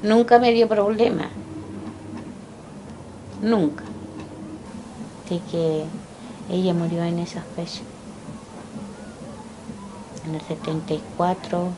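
An older Chilean woman recalling her life slowly and haltingly, pausing often to remember. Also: medium pitch, background noise. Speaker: interviewee. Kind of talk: personal recollection. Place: indoors, small room by a window.

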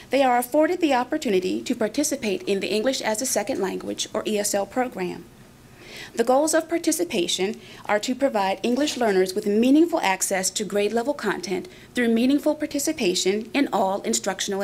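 A woman's voice: a little high, vocal fry, a little mellow, slight background noise. A woman giving a scripted narration for a school division's program presentation.

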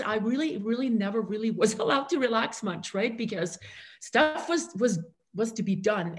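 A middle-aged woman from the Austrian Alps, a native German speaker, telling her personal story as a podcast guest on a video call. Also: medium pitch. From rural Austrian Alps. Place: indoor room on a video call.

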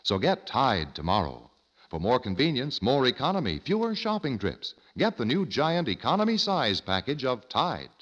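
A man's voice: mid-range voice, wildly swooping pitch, moderate pace, a bit bright. A man announcing an old-time radio commercial with an emphatic, polished sales delivery. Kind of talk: 1940s-50s radio advertising pitch. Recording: dull, echoing old radio recording.

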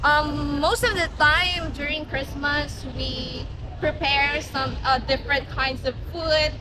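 A young woman with a Filipino accent and a high, light voice, chatting and joking with plenty of fillers. Loud street noise sits behind her outdoors. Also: steady tone, slow pace. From Philippines. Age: young adult. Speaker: education student interviewee. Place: outdoors on plaza steps.

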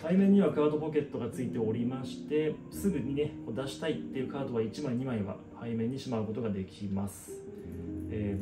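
Craftsman, male, thirties, casual explanatory product presenter, mid-pitched voice, roomy sound over guitar music. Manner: explanatory, conversational. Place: small indoor room with product shelf.

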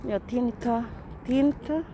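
Female vlogger, Filipino accent, higher-than-average voice, casual chat, noisy outdoor recording. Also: slow pace, warm tone, dull audio. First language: Tagalog. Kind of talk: casual walking vlog chat. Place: outdoors at a riverside camping area. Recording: outdoor handheld recording with clear background noise.

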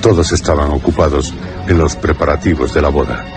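A man reading scripted horror narration for a radio drama in a solemn, measured way. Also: very deep voice. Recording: radio drama with music behind.

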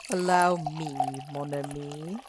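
A woman voicing a genial, courtly elderly gentleman host in a story. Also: sits low, steady tone, takes it slowly, noisy background. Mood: courteous. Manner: courtly, hospitable.

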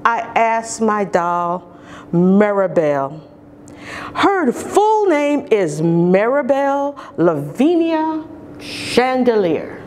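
Storytime reader: middle-aged woman, highish swooping voice, expressive emphasis for small children, some room noise. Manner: expressive, deliberate, with drawn-out emphasis on key words. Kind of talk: children's picture-book read-aloud. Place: large carpeted indoor lobby or lounge.

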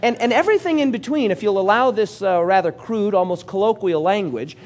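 A man's voice: sits high, fairly quick. A man preaching a sermon as pastor, talking with the congregation, asking questions and joking with them. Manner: lively, interactive preaching with audience questions and jokes. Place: church hall before a congregation.

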